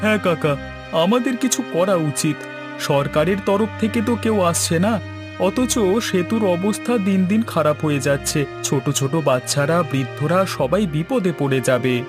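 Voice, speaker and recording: A man's voice: a little high, animated, moderate pace, over music, natural voice. A man voicing an earnest, agreeable younger village man eager to help, in scripted cartoon dialogue. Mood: eager, concerned.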